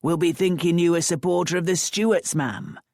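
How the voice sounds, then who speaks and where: A woman's voice: on the low side, moderate pace, darkish tone, no echo, studio quality, voice pushed up. A woman voicing a grey-haired older man with a pipe who lectures and argues persistently. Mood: lecturing. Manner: lecturing, argumentative.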